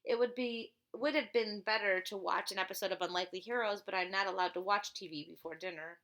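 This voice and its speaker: A woman's voice: medium pitch, steady tone, quick pace, a bit bright. A woman reading a children's storybook aloud as a teacher would, expressively and with chatty asides.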